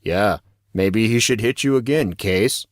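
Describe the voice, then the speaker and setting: A man plays a laid-back teenage schoolboy in an audio drama with a low voice. His talk is slangy, gossipy and flippant, and the recording is dry with no real room sound. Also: measured pace, dry recording, natural voice. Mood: flippant, casual.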